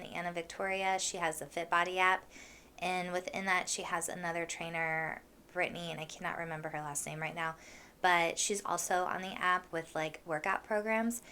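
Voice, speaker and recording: A young woman hosting a casual podcast, chatting with a froggy, hoarse voice from allergies. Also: on the low side, pushed and bright, a little hiss or hum. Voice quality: froggy, husky voice. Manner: chatty, with many fillers and laughs. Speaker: podcast host. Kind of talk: casual solo podcast chat.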